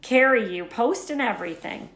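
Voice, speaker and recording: A woman voicing a gruff, big-hearted, blunt man for a children's storybook, with emphatic, folksy speech. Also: fairly high pitch, animated, moderate pace, fairly bright tone, pitched above the performer's own voice. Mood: generous, excited. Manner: emphatic, folksy, dropped words. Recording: echoey room.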